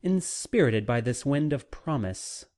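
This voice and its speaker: Male audiobook narrator, very unhurried, medium pitch, deliberate phrasing, dramatic literary reading. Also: lively intonation, a little mellow. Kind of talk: dramatic scripted reading of a classic novel.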